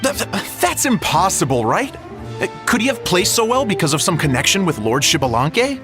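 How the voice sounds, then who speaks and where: A flustered young man in a video game, somewhat high and very animated. He sounds sceptical and stumbles over his words. Music sits behind him. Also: moderate pace. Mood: incredulous. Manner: stammering, incredulous.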